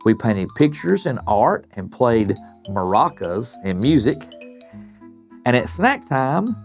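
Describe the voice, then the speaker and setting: A man voicing a cheerful young schoolgirl in a children's storybook, gentle and enthusiastic. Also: medium pitch, big pitch swings, slow, soft background music. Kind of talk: children's storybook read-aloud.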